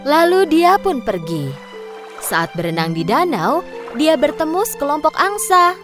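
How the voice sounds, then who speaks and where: A woman's voice: high voice, very animated, unhurried. A woman narrating a scripted children's story as a storyteller. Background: music.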